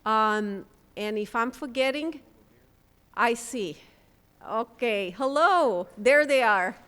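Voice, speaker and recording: Halting woman speaking in a reverberant hall. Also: on the high side, animated, fairly bright tone, clean tone. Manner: halting, with many ums and restarts. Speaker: panel speaker for a refugee housing group. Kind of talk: conference talk. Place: conference room.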